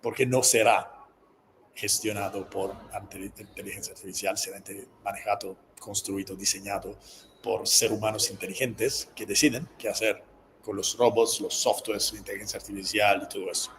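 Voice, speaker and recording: A man's voice: on the low side, fairly even intonation, moderate pace, a bit bright, a little hiss or hum, poor audio. A man from Calabria with an Italian accent, a guest in casual interview chat, feeling for words in his second language. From Calabria, southern Italy. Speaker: guest author and speaker.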